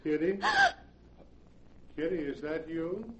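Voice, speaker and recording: Dead husband's ghost, low, measured, reproachful, 1940s radio drama, muffled and faintly noisy recording. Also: male voice. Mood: questioning. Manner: measured, mock-polite, matter-of-fact. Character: murdered husband's ghost, calmly reproachful, politely macabre.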